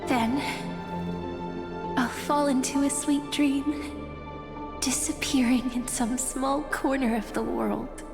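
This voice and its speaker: Gentle hopeful young fantasy game heroine, highish voice, really slow n tender, big reverb w music behind. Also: female voice. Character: gentle, hopeful young woman who watches over time. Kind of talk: dramatic fantasy game voice acting. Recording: long reverb under clear background music.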